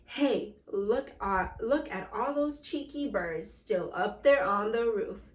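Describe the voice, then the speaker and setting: A woman's voice: medium pitch, animated, slow pace, echoey room. A woman reading the part of a nasty old husband who is gun-happy and spiteful, in a grumbling, scornful manner. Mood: scheming.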